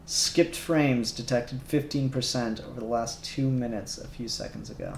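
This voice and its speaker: A man chatting casually on a livestream, muttering software settings aloud and chuckling as he works. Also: medium pitch, normal speed, roomy sound, slight background noise. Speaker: streamer doing 3D render work.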